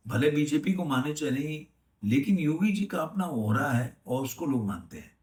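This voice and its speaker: A man giving unscripted film news commentary, halting and repeating himself as he rephrases. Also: on the high side. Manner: halting, repetitive, rephrasing as he goes. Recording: echoey room, distant microphone.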